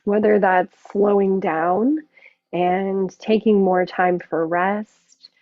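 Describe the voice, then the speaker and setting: Slow-spoken woman guiding yoga, phone-like sound. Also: medium pitch, steady tone, dry recording. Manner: unhurried, gentle guiding with long pauses. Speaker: yoga teacher. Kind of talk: guided yoga and relaxation instruction. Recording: muffled narrow phone-like sound.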